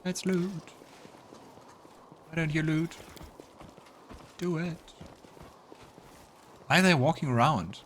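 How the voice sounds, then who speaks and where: A man's voice: fairly high pitch, slow, long pauses, a bit bright, a little hiss or hum. A man hosting a let's play, mixing casual game commentary with narration read aloud. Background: game music.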